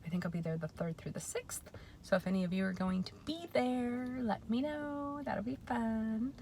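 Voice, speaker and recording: A woman in her forties talking in a chatty, reflective way in a personal vlog, often pausing with um and correcting herself. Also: on the high side, expressive, dark tone, slight background noise. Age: 40s. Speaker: vlogger.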